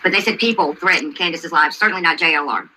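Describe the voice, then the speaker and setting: Woman, livestream host, sharp forceful voice, insistent, questioning, faint background noise. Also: sits low, fast, low-quality recording. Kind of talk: livestream commentary on a video, answering chat.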